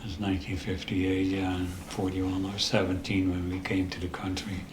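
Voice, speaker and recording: A very low-pitched, older man with a Dutch accent recounts his memories slowly, stopping and restarting often. His voice stays flat and even. The room around him is echoey, with faint ambience behind. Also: background noise. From the Netherlands. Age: 80s. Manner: halting, with many restarts and pauses. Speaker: interview guest. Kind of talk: storytelling in a casual interview.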